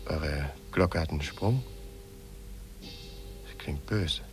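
An actor playing a teasing, gallant travelling painter in a 1950s German radio drama, playful, coaxing and self-assured. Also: male voice, extremely low pitch, very slow, faint music behind, heavily acted. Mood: easygoing. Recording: 1950s radio play from cassette tape.

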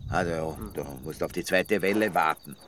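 An actor playing a wry, teasing village friend in a radio drama, speaking conversationally. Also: male voice, sits low, normal speed, natural voice. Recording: clear outdoor night insect noise. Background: insects and night ambience.

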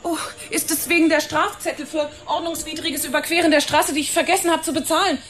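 A flighty young starlet in a radio drama. Her voice is high, and she chatters in stumbling, repetitive bursts as if put out by police questions. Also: steady tone, fast, fairly bright tone, soft background music. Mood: chatty, casual.

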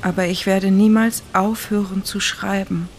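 An actress in a German radio drama playing a woman who reads aloud in an intense, hushed way. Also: mid-range voice, fairly even intonation, a little slow, a little hiss or hum, natural voice. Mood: hushed, bitter.

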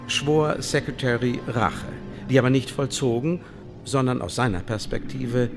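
A secret service officer in a radio drama. He is coolly calculating and speaks in measured officialese, as if every word belongs in a file. His pitch is medium for a man. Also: creaky. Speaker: actor in a radio play.

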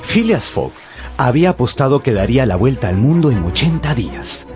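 Guy narrating a radio novel, dramatic n animated, medium pitch, music playing behind. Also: brisk. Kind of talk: dramatic scripted storytelling for radio.

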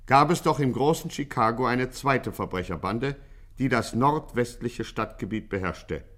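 A man playing the deadpan narrator of a 1950s German radio crime satire, measured and ironically suspenseful. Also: medium pitch, natural voice. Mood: dry, ironic. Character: deadpan, mock-dramatic crime story narrator.

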